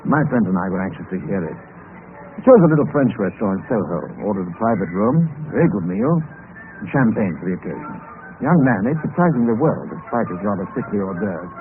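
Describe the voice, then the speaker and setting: An actor playing an urbane, bantering British gentleman adventurer in an old-time radio drama, with genteel, hesitant tag questions. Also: on the low side, sing-song, fast talker, music in the background. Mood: wry storytelling. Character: urbane, amused gentleman adventurer, feigning desperation, narrating his tale. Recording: noisy, tinny vintage radio recording.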